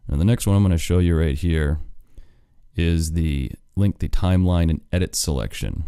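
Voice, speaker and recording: A deep-voiced American man walks through a software tutorial step by step. His voice is mellow and smooth, recorded dry and close like a studio voice-over. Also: no echo. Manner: plain step-by-step explaining. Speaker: audio engineer and instructor.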